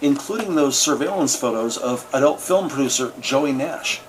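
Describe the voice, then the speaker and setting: A man's voice: mid-range voice, lively intonation, moderate pace, a little hiss or hum, rough recording. A man narrating a scripted crime audio drama with vivid, slightly wry storytelling.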